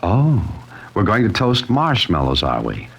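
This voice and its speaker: An actor playing a wry, cool-headed amateur sleuth, with dry, clipped delivery in the style of an old-time radio mystery. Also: male voice, lowish voice, huge pitch swings, unhurried, creaky, slight background noise, exaggerated voice. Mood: wry, defiant. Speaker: narrator and lead character. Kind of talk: old-time radio mystery acting, hardboiled first-person narration.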